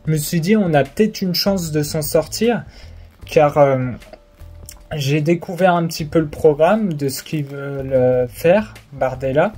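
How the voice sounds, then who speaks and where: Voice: mid-range voice, warm tone. A young adult talking casually to camera, giving an insistent opinion in colloquial speech full of hesitations and fillers. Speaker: political vlogger. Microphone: phone or camera facing the speaker.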